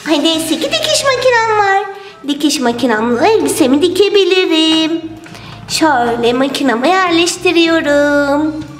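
Very high-pitched little doll girl. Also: animated, moderate pace. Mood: cheerful. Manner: singsong, exclamatory, affectionate. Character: cheerful little doll girl hosting her friends for tea. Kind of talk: children's toy play storytelling. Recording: roomy sound with music behind. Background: music.

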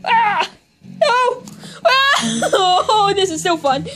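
A woman voicing an excitable young male teddy bear gamer in a homemade puppet video, rambling and squealing with laughter, recorded on a phone at home. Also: very high voice, drawn-out words, over music, exaggerated voice. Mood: giddy. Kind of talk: homemade plush puppet vlog.

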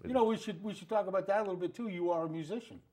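High-voiced middle-aged male host. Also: a little mellow. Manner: friendly, encouraging, quick to affirm. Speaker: TV talk show host. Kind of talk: casual interview chat. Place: small TV studio, indoors. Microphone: lapel mic.

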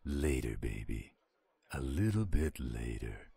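A man's voice: a bass voice, big pitch swings, slow pace, gravelly, warm tone, slightly rough, no echo, heavily acted. An actor playing a laid-back, teasing male werewolf with an easygoing drawl in an audio roleplay.

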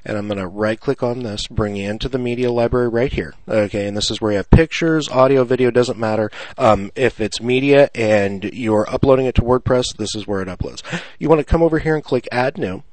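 A man's voice: medium pitch, a little fast, a little mellow, dry recording. A man presenting an unscripted screencast tutorial, explaining things casually, step by step.

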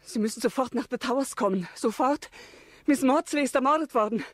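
A woman's voice: high-pitched, a little fast, no echo, studio quality. A woman playing an agitated lady's companion in a radio crime drama, speaking in urgent, clipped exclamations. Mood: panicked, urgent.

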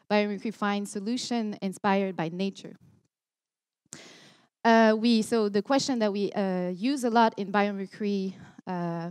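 A woman's voice: mid-range voice, darkish tone, studio quality. A young woman from Montreal with a Quebec French accent, giving an earnest keynote talk with many ums and restarts, recorded on a headset mic in a large lecture hall. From Montreal, Canada. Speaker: keynote speaker. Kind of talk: conference talk.

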